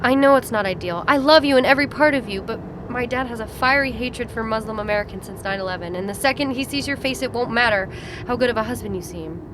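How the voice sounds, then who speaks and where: A woman's voice: fairly high pitch, fast, lightly acted. A woman acting in an audio drama as an anxious, pleading, loving young fiancée. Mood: pleading, earnest. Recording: noisy vehicle ambience.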